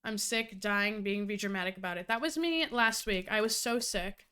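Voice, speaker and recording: A woman's voice: mid-range voice, moderate pace, pushed and bright, smooth. A young adult woman streaming, chatty and profane, who sounds nasal and congested from a cold. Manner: chatty, profane, laughs often. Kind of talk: casual live-stream chat.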